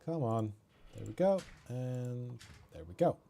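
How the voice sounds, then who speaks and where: A man's voice: mid-range voice, animated, unhurried, vocal fry, mellow, a little hiss or hum. A man from Norway chatting casually while playing a video game on stream, muttering and joking at himself. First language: Norwegian. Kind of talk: casual gameplay commentary.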